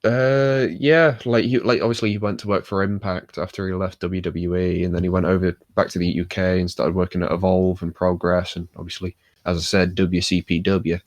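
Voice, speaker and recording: Rambling man with a low voice. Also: little pitch movement, brisk, few pauses, dry recording. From United Kingdom. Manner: rambling, with many fillers and restarts. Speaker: podcast co-host. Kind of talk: casual chat.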